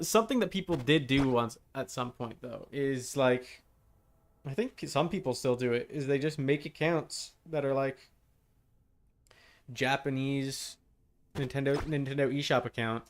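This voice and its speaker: A man's voice: medium pitch, lively intonation, normal speed, a little hiss or hum. A man casually chatting on a gaming live stream, rambling along in a wry, joking way. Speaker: game streamer.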